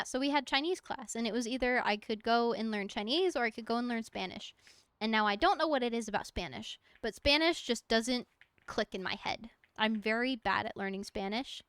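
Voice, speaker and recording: A woman's voice: on the high side, fairly bright tone, smooth, no echo. A young woman streaming a game from home, chatting casually in a rambling, giggly way and talking to objects in the game. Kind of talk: casual chat while gaming.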